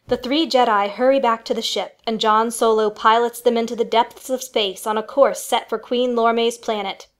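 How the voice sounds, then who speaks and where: A woman's voice: fairly high pitch, fairly even intonation, normal speed, few pauses. A woman reading scripted, dramatic narration for a family radio play.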